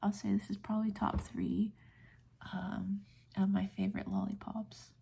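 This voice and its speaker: An American woman in her late twenties rambling in a soft-spoken, whispery, breathy ASMR style, gentle and unhurried. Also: medium pitch, little pitch movement. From United States. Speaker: ASMR video creator.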